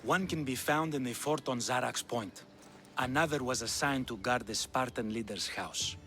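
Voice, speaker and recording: An actor playing a shrewd, proud Spartan king in a video game, speaking with a Greek accent in a deliberate, wry, rhetorical way. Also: medium pitch, pushed and bright, slight background noise. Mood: grave, conspiratorial. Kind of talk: video game cutscene acting.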